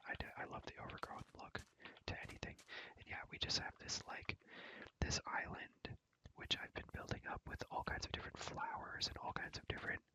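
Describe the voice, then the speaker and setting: A man's voice: fairly quick, sharp tone, rough recording. A young man whispering close to the microphone in a casual, rambling ASMR gaming chat, repeating words and phrases and using slang. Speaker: ASMR creator showing a Minecraft world.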